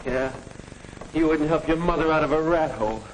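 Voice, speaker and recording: A man's voice: fairly high pitch, fairly quick, slight background noise, lightly acted, rough recording. A man playing a bitter, desperate teenage addict in a 1950s educational film, surly, edgy and slangy, on a vintage soundtrack.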